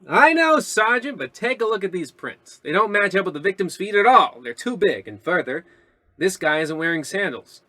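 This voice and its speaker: Excitable male detective, played by an actor, radio comedy. Bright forceful voice, a little high, overconfident and emphatic. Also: moderate pace, dry recording, full character voice. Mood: excited, insistent. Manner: melodramatic, emphatic. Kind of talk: old-time radio comedy acting.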